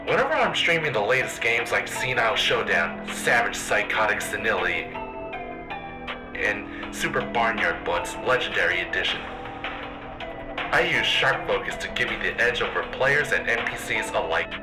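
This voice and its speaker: A man's voice: on the low side, animated, normal speed, over music, lightly acted. A man playing a video game streamer reading a scripted promotional endorsement. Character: male game streamer endorsing a sponsor product.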